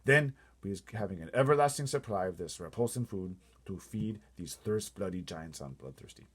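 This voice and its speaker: An earnest, good-hearted storybook giant , voiced by a man, speaks in mangled grammar. The voice is husky and swings widely in pitch, pushing each point with emphasis. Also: animated, moderate pace. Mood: explaining. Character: gentle, earnest friendly male giant with quaint grammar.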